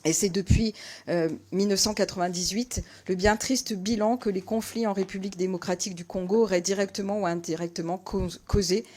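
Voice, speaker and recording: A woman, a member of parliament, putting prepared questions at a hearing in a formal, measured way. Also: mid-range voice, fairly even intonation, darkish tone.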